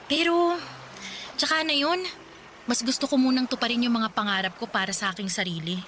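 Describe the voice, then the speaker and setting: A woman playing a cheerful, ambitious college girlfriend in an audio love-story drama, chatty and expressive, playful but firm. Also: a little high, a little fast, a little hiss or hum, close to an everyday voice. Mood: earnest. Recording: music playing behind the voice.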